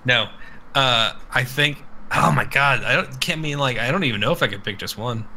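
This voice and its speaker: Man, sharp forceful tone, casual crude podcast chat, irreverent, rambling, sweary, slight background noise. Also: medium pitch, moderate pace. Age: adult with children. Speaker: podcast co-host.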